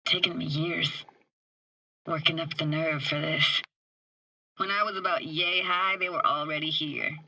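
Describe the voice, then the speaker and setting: A woman's voice: low voice, fairly even intonation, measured pace, long pauses, sharp tone, slight background noise, full character voice, low-quality recording. A woman playing a wry, tender young streetwise techie in a video game, with casual, clipped, slangy delivery. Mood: wistful. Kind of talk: video game character dialogue.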